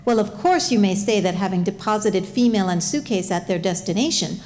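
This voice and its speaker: A woman's voice: medium pitch, expressive, brisk, darkish tone. A woman narrating a comic short story from a script, as a storyteller.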